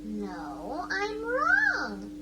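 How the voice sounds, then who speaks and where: A woman's voice: high-pitched, wildly swooping pitch, extremely slow, very noisy, heavily acted. An actress playing a chatty, scatty, girlish female aboard a starship, breezy and exaggerated, in a 1980s radio science-fiction drama. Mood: puzzled, excitable.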